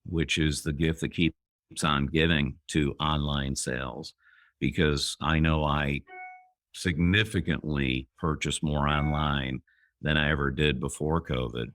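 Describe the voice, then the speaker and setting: A man in his fifties, a guest entrepreneur on an interview podcast, speaking in a measured way with pauses. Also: rumbling low, steady tone, a bit bright, dry recording, studio quality. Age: 50s.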